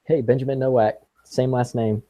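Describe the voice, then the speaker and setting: A man's voice: mid-range voice, steady tone, normal speed, warm tone, dry recording. A man of about twenty chatting casually as a guest on a live stream. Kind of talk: casual chat.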